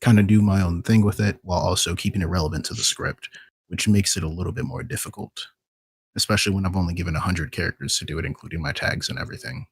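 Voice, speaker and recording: A man with a low, rough-edged voice speaks casually on a discussion panel. He thinks aloud in an informal way, with a lot of creak in his tone, and the sound is close and dry. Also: fast talker, no room sound. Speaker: podcast guest, voice actor and video maker.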